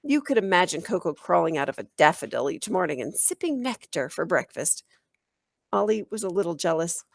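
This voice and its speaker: A woman reading a children's novel aloud as an expressive storyteller, in the manner of a teacher. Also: fairly low pitch, fairly quick, vocal fry, dry recording, clean studio sound. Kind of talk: children's audiobook narration.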